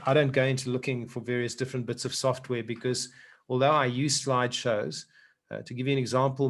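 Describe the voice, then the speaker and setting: A middle-aged man with a South African accent giving earnest public speaking advice on a video call, often repeating words as he starts a point. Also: medium pitch. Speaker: speaking coach. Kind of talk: online workshop talk.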